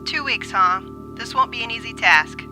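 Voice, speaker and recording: Woman playing a high-voiced, composed government minister. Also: over music, natural voice. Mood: cool, skeptical. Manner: formal, measured. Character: composed, shrewd female government minister in space opera. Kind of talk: dramatic voice acting in a comic dub.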